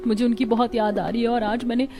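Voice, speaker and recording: A woman's voice: on the high side, little pitch movement, quick pace, music in the background, natural voice. A woman voicing a sincere young daughter-in-law in a cartoon story, earnest and plaintive. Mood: sad.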